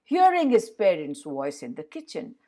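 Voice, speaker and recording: A woman storyteller narrating a fairy tale for children in an expressive way, addressing her listeners directly. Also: low-pitched, moderate pace.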